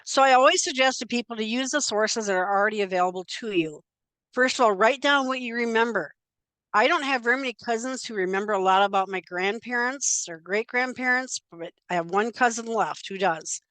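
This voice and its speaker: An older woman leads a relaxed genealogy talk, chatting along with plenty of little fillers. Her voice is smooth and clear. The sound is dry and close, with no room around it. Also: fairly high pitch, a little fast, dry recording. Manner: conversational and chatty, with frequent fillers. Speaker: genealogy presenter. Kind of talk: informal slide presentation.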